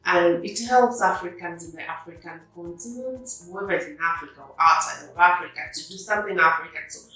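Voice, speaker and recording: A woman from Tanzania, a doctor, giving an unhurried testimonial about a course, with many fillers. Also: fairly low pitch, bright, forceful tone, very echoey, far from the mic, soft background music, low-quality recording. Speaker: pediatric doctor, course participant.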